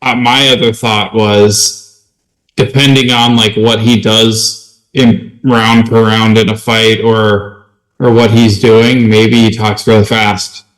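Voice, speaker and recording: A man's voice: on the low side, flat delivery, takes it slowly, fairly bright tone, poor audio. A man co-hosting a podcast, chatting casually about tabletop gaming. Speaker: podcast co-host.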